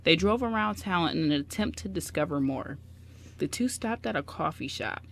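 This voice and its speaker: A woman's voice: low-pitched, animated, moderate pace, creaky. A woman on a radio show reading a story synopsis aloud, stumbling now and then and correcting herself. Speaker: radio show cast member.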